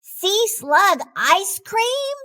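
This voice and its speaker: A boisterous little boy shark from a picture book, acted out by a woman, pitched very high and swinging widely. He is excitable and easily confused, and he stretches his words out slowly. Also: full character voice. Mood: eager, excited. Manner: exclaiming, dragging out words.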